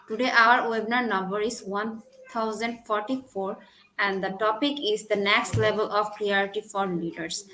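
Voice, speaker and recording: A woman from Bangladesh hosting an online webinar, reading a formal welcome in a halting way and restarting her lines. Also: medium pitch, fairly even intonation, sharp tone, poor audio. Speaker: webinar host.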